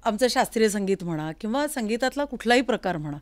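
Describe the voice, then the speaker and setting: A woman's voice: on the high side, animated, fast, no room sound. A woman with a Maharashtrian accent hosting an interview in a conversational way, often giving short affirmations. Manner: attentive, frequent short affirmations. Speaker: interviewer, host. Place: recording studio.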